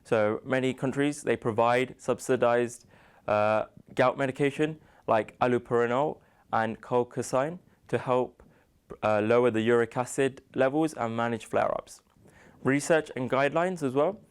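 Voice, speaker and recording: Clear-voiced young British man presenting. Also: medium pitch, measured pace. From United Kingdom. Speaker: student presenter. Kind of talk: slide presentation on a medical topic.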